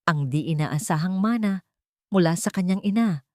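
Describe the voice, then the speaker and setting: A woman's voice: fairly low pitch, normal speed, warm tone, no room sound, studio quality. A woman reads a scripted dramatic story as its narrator.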